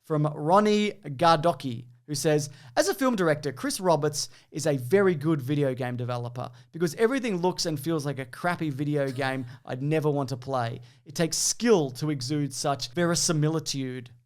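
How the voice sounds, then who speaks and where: A man's voice: a little high, normal speed, few pauses. A man hosting a casual quiz, bantering and explaining as he reads out film reviews. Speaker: quiz host.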